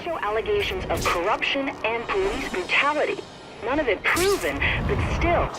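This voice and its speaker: A man's voice: sits high, big pitch swings, moderate pace, over loud music. A man voicing a skeptical TV news commentator.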